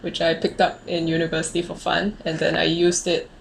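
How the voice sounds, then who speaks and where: This is a woman in her late twenties with a Singaporean accent and a slightly low pitch. She hosts in an informal, chatty style, and there is noticeable noise behind her voice. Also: completely flat delivery, fairly quick, vocal fry, poor audio. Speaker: host of a conversational video series. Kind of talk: casual chat.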